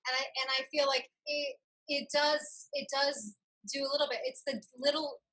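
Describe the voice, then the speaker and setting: A woman interview host with a high, bright voice. She speaks in a casual, reflective conversational style, feeling her way through each thought and rephrasing as she goes. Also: little pitch movement, normal speed, poor audio.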